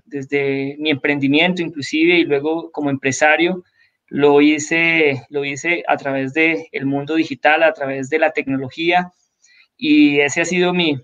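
Higher-pitched man on dull call audio. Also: fairly bright tone. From Colombia. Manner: measured, many restarts and repetitions, formal. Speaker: guest, tech entrepreneur and mentor. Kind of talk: live video call interview. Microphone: video call audio.

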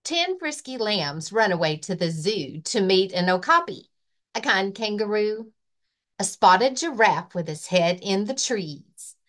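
A woman librarian reading a children's rhyming picture book aloud with expressive, rhythmic delivery. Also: medium pitch, measured pace. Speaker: librarian and story-time reader.

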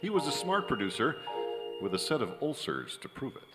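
A man's voice: mid-range voice, big pitch swings, a little fast, lightly acted. A middle-aged actor playing a sardonic, down-on-his-luck Hollywood screenwriter in a noir radio drama. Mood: wry, resigned. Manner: sardonic, dry. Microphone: stand microphone on stage. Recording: echoey theater stage, music behind.

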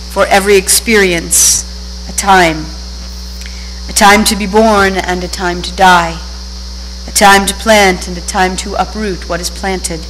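An older woman officiant giving a steady, solemn reading of psalms and scripture at a lectern in a synagogue sanctuary. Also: mid-range voice. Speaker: service officiant. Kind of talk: liturgical reading. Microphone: lectern microphone. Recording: reverberant hall with faint hum.

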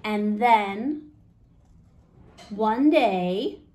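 A woman's voice: medium pitch, lively intonation, very slow, dark tone, a little hiss or hum. A woman in her forties reading a picture book aloud to children as a storytime host, in simple phrases with questions to the listeners. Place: small indoor room.

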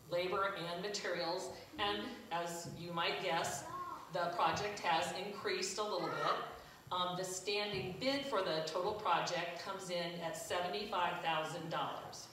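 An older woman reading church council announcements from her notes, loud and matter-of-fact, her voice a little low for a woman. She was picked up by a far-off camera in a large church, so the sound is very echoey and distant, with a clear hiss underneath. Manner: loud, plain reading with a self-deprecating aside. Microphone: far camera at the back.

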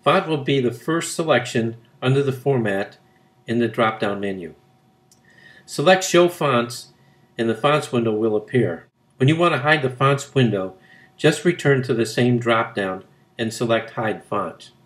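A man with a mid-pitched voice narrates a tutorial. He reads from a prepared script in measured phrases. The delivery is instructional, set out step by step. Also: animated, a bit bright.

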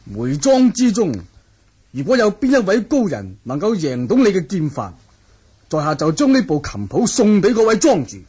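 A smooth-talking, crafty wuxia schemer in an old Hong Kong Cantonese radio play. The husky voice sits fairly high and swings freely as it coaxes with jovial courtesy. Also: male voice, wildly swooping pitch, normal speed, warm tone, exaggerated voice. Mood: coaxing. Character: shrewd, jovial, smooth-talking male martial-world wanderer. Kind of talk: 1980 Cantonese radio drama acting.

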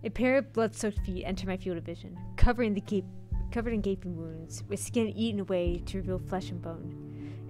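Woman dramatically reading a horror game aloud. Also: mid-range voice. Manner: dramatic read-aloud with stumbles. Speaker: game streamer. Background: game music.

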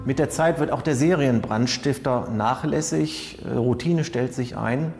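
A man giving formal, measured, analytical commentary as an expert on criminal behaviour. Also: medium pitch, gravelly, music in the background. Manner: measured, formal, technical wording. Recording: long reverberant room sound.

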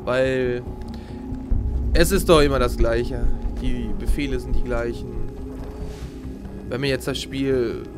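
A young man with a slightly hoarse voice from a cold, casually commenting while he plays a video game and humming little sound effects. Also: medium pitch, fairly even intonation, takes it slowly, long pauses, music in the background. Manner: chatty, reacts aloud, hums sound effects. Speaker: let's play gamer.

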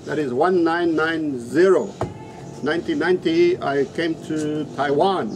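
A man's voice: fairly high pitch, a little slow. A middle-aged man from Africa, a non-native English speaker, talking casually to camera as a teacher and repeating words for emphasis. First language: not English, his third language. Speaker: English teacher and trainer, vlogger. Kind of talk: casual vlog talk to camera. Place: open-sided resto-inn in the Philippines. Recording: noisy open-air ambience behind voice.

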